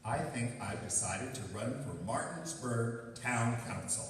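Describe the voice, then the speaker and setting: A man's voice: mid-range voice, lively intonation, a little slow, a little hiss or hum, natural voice. A man playing an earnest, blustering husband with political ambitions in a stage radio play. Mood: earnest, resolute. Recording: very echoey hall, far from mic.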